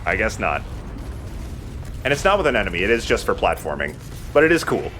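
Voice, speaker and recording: A man's voice: fairly low pitch, very animated, fairly quick, fairly bright tone, a little hiss or hum. A man giving casual commentary as he plays a video game, chatting and swearing lightly. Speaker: let's play host playing the game. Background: video game sound.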